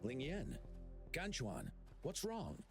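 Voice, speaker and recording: A man's voice: medium pitch, wildly swooping pitch, slow pace, heavily acted. A man playing a helpful, confident and slyly knowing young man in a video game. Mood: concerned. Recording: music playing behind the voice.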